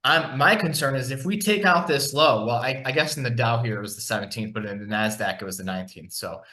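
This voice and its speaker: A man hosts a market podcast in an easygoing, conversational way. His voice is bright and forceful, at a middle pitch. He fills his sentences with restarts and "you know.". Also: brisk. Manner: conversational, many fillers and restarts. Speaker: podcast host and market analyst. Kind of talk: casual market discussion over a shared screen.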